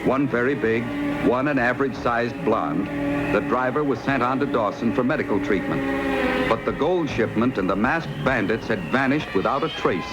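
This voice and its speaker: A man's voice: medium pitch, normal speed, long pauses, lightly acted, low-quality recording. A man narrating an old-time radio adventure serial in a dramatic, solemn storytelling manner. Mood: grave. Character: stern, dramatic announcer of a frontier adventure serial. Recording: old radio recording, loud music behind.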